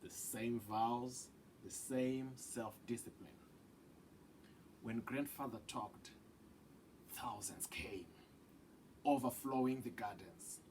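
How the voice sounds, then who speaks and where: A man reading a children's picture book aloud with deliberate, dramatic phrasing that drops into hushed tones. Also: mid-range voice, a little hiss or hum, poor audio. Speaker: read-aloud storyteller.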